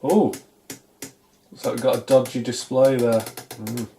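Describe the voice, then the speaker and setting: A middle-aged man with a British accent talks through a hands-on repair in a casual, unscripted way. His voice is relaxed and dark-toned, sitting at an average male pitch. He muses to himself with dry, self-deprecating humour. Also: unhurried, gravelly, a little hiss or hum. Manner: musing aloud, self-deprecating, dryly humorous. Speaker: electronics repair hobbyist, presenter. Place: small indoor home electronics workshop, at a bench.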